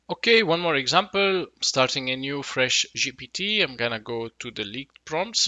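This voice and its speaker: Bright, gravelly man demoing software. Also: mid-range voice, no room sound. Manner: casual explanatory, thinks aloud with fillers. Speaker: tech tutorial host. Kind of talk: screen-recorded software walkthrough. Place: small room indoors. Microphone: headset.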